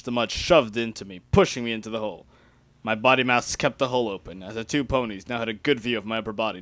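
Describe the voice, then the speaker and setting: A man's voice: medium pitch, bright, forceful tone, no echo. A man reading first-person fan fiction aloud as an amateur dramatic narrator.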